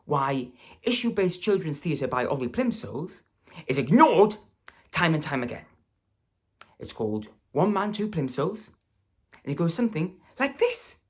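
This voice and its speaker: A man's voice: on the high side, sing-song, a little slow, husky, exaggerated voice. A man playing a self-important, aggrieved amateur playwright in an earnest, pompous comic stage monologue.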